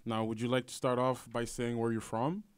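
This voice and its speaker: A man's voice: medium pitch, flat delivery, brisk, smooth, no echo. A man from Canada hosting a casual interview, easygoing, asking questions with filler words. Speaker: interviewer host.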